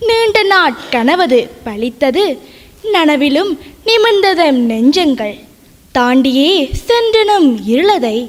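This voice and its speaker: A woman giving a stirring, rhythmic poetry recitation at a live reading, in a declamatory manner. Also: high voice. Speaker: poet reciting at a book launch. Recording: echoing hall reverb.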